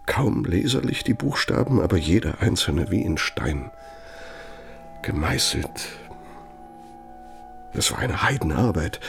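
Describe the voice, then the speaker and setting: A deep, gravelly, embittered old aide. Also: male voice, exaggerated voice. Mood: sardonic, smug. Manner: deliberate, confiding, sardonic, with pointed pauses. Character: embittered loyal old aide of a Nazi architect, reminiscing reproachfully. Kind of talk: German radio play monologue.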